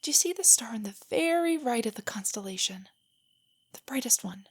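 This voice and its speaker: A young woman playing a nerdy, nervous, tender girlfriend in an intimate stargazing roleplay audio, speaking softly and often near a whisper as she gently rambles. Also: on the high side, lively intonation, brisk, husky. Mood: gently enthusiastic. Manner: rambling, gently explaining, hesitant. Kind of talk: intimate roleplay audio, scripted to sound spontaneous.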